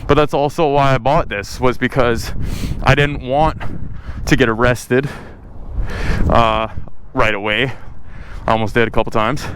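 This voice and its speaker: A young man in his twenties rambles casually to his viewers, piling up fillers and restarts as he goes. He speaks at a middling pitch, and passing cars and motorcycles rumble clearly behind him on the street. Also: steady tone, unhurried, pauses often. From born in California, raised in Hawaii, lives in Ontario. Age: 20s. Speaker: motorcycle vlogger. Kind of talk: casual vlog talk to camera. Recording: outdoor traffic and motorcycle noise.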